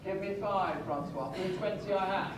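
Brisk male auctioneer, high voice, picked up from far back in a crowded room. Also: noisy background. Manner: brisk, repetitive calling of bids with light joking asides. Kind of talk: live auction calling. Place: large auction sale room, heard from the audience. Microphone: far phone camera in the crowd. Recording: distant echoey sale room from a phone in the crowd.

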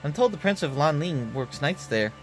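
A man voicing a calm, polite young woman knight in a game, earnest and gentle. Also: a little high, normal speed, faint music behind, natural voice.

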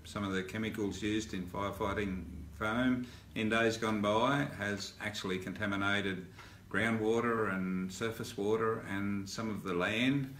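An older man with an Australian accent, giving a formal spoken update to residents, measured and halting with many "uh" fillers and restarts. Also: lowish voice, fairly bright tone. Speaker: mayor giving a council update. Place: indoor office in front of a backdrop. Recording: roomy sound with faint ambient hiss.

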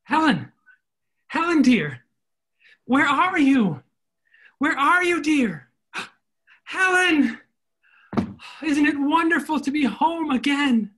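A man's voice: very high-pitched, sing-song, slow, pauses often, sharp tone, exaggerated voice. A middle-aged man playing a devoted, romantic army captain in a stage comedy, earnest and theatrical. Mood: joyful. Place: indoor room, recorded over video call.

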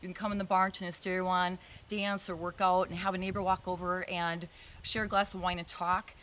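A woman's voice: lowish voice, little pitch movement, fairly quick, fairly bright tone, a little hiss or hum. A woman in her fifties, a barn owner, talking earnestly in a casual interview outdoors, with many fillers.

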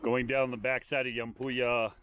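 An older man narrating a hike outdoors on a windy volcanic ridge, calmly explaining the landmarks around him. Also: medium pitch, lo-fi sound. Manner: unhurried, explanatory, pointing out landmarks. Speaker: hiking vlogger. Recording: faint wind noise.

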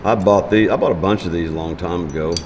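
A man's voice: low voice, fast, slight background noise. A man in his fifties teaching welding in a large metal workshop, talking in a folksy, plainspoken way as he works and thinks aloud. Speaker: welding instructor. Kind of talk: hands-on shop demonstration. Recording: music bed under the voice.

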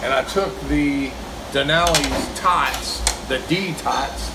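A man's voice: a little high, slow, heavy background noise. A man, chatting casually as he reviews diner food while eating. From Kentucky, US. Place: small diner, indoors. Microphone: phone camera across the table.

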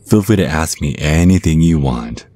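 A man's voice: extremely low pitch, lively intonation, normal speed, dark tone, no echo. A man voicing a suave, theatrical vampire stage magician, teasing and pompous, in an ASMR roleplay. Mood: smug, flirtatious.

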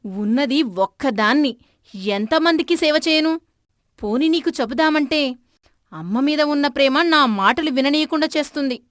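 A woman playing an aggrieved village daughter-in-law in an animated moral story, speaking in a plaintive, emotional way. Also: sits high, moderate pace, no room sound, studio quality, natural voice. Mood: aggrieved complaining.

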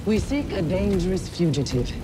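A woman playing a cold, menacing inquisitor in a video game, commanding, formal and deliberate. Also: fairly low pitch, sing-song, noisy background. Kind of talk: video game cutscene acting. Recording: heavy rain and thunder behind.

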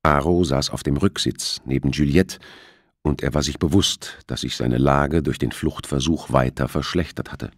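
A man with a husky voice reading a thriller novel as an audiobook narrator. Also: rumbling low, fairly even intonation, moderate pace, no echo, clean studio sound.

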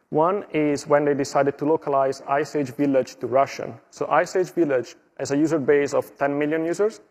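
Italian man, middle-aged, husky and a bit high, walks an audience through product steps on stage. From Italy. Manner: explanatory, steady walkthrough with small slips and fillers. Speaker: conference presenter. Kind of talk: tech conference presentation. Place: conference stage.

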